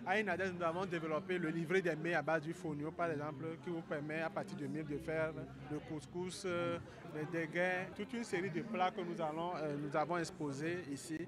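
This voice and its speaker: A man's voice: fairly high pitch, steady tone, a little fast. A man explaining things in an interview as an organisation's representative. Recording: busy outdoor crowd noise.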